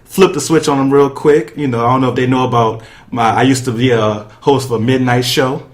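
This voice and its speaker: A man's voice: medium pitch, steady tone, fairly quick. A man hosting a sports podcast in a casual, chatty way, speaking directly to listeners and guests. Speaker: podcast host. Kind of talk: casual sports talk with guests and sponsor reads.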